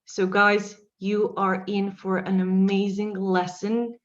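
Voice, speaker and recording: A woman's voice: mid-range voice, flat delivery, slow pace, highs cut off. Gushing woman hosting a workshop. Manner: enthusiastic, gushing. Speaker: workshop host. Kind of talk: introducing a guest.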